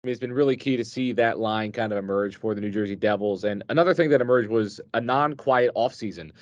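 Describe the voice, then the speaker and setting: A man's voice: lowish voice, quick pace, no echo. A man co-hosting a sports show, summing up news and asking a guest questions conversationally. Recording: slightly dull, lo-fi sound.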